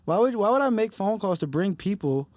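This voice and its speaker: A man's voice: high voice, very animated, a little fast, a little mellow, no room sound. Fired-up young man from Detroit. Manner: heated, swearing, emphatic. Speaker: rapper guest. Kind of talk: casual podcast conversation.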